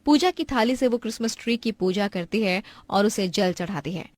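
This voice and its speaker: A woman's voice: medium pitch, animated, normal speed, darkish tone. A woman giving scripted narration for a cartoon family story.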